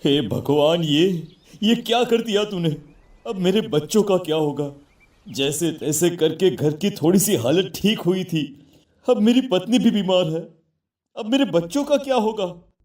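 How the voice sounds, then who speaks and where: A man playing a poor, worried husband turned gentle ghost in a cartoon moral story, speaking earnestly and emotively. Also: high voice, animated, unhurried. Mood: anguished. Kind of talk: Hindi animated moral story dialogue.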